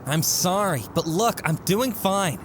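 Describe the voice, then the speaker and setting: A man playing a guilt-ridden, defensive teenage son in a comic dub, hesitant and evasive, halting as he talks. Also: sits high, expressive, a bit bright, slight background noise. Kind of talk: amateur comic dub voice acting.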